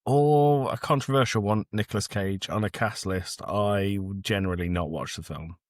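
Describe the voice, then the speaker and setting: A man's voice: lowish voice, normal speed, dry recording, studio quality. A man co-hosting a podcast in casual film review banter, blunt, sweary and facetious. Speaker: podcast co-host.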